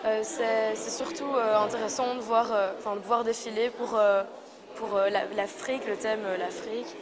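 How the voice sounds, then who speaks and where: A woman answering an interview in a halting way, often searching for words. Crowd chatter surrounds her. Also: on the high side, fairly even intonation.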